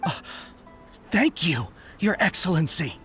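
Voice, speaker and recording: A humbled, deferential soldier with lively intonation. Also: medium pitch, takes it slowly, soft background music. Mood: bewildered. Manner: formal, deferential. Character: humbled soldier, respectful, contrite, deferential to superior. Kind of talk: video game voice acting.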